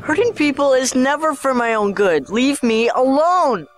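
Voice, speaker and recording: Performer playing a troubled, frightened schoolboy, animated pitch. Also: measured pace. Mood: defiant. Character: scared, troubled schoolboy tormented by an inner voice. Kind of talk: audio drama acting.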